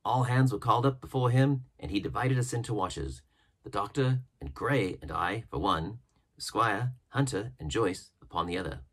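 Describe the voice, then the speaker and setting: Husky low-voiced man reading a classic adventure. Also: animated, normal speed. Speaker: audiobook narrator. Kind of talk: expressive storybook narration of a classic adventure novel.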